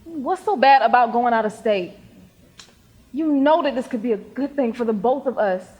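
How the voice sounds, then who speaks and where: Determined, defensive teenage girl athlete played by a woman, higher-pitched and husky, stage drama in a reverberant hall. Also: normal speed, darkish tone. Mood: concerned, insistent. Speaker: stage actor. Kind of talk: stage play acting. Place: theatre stage, recorded in the hall. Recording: echoey hall with long reverb.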